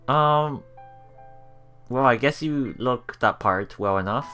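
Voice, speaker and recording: Giggly, very low-pitched woman reading games. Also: slow, a bit bright. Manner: giggly, frequent laughing asides while reading aloud. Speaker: let's play streamer narrating a visual novel. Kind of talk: live reading and reacting to a game, casual. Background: game music.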